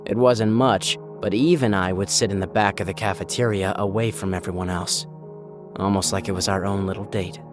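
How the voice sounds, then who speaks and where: An actor playing a wistful rig maintenance worker in an audio drama, speaking in a reflective, subdued way. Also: male voice, deep voice, steady tone, fairly quick, close to an everyday voice. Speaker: narrator and lead character. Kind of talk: audio drama narration and dialogue. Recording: music under the voice.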